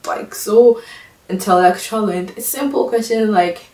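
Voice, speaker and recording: A woman's voice: unhurried, a little mellow. A young woman from Ghana with a Ghanaian accent, talking to camera in a casual, exasperated and sarcastic vlog. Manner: exasperated, sarcastic, mimics others in high voices. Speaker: vlogger. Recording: echoey room, mic at a distance.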